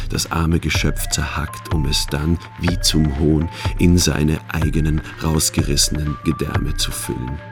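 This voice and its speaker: An actor playing a callous, naive soldier who narrates a river mission in a radio play, formal, deadpan and measured. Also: male voice, very deep voice.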